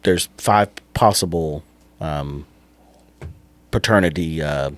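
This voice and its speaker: Man acting as deep-voiced, apologetic clinic manager. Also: dry recording. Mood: apologetic, halting. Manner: halting, polite, officious. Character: awkward, apologetic male clinic manager on the phone.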